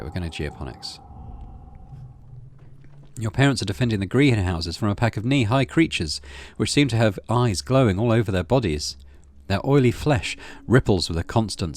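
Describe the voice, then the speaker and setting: A man's voice: lowish voice, expressive, moderate pace. A middle-aged man hosting a gaming video, reading story text aloud and adding casual commentary. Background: game music.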